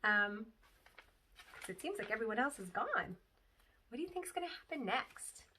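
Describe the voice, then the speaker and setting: A woman reads a children's story aloud like a teacher, pausing for asides to listeners. Her voice is fairly high and very animated, picked up from a little distance. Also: background noise.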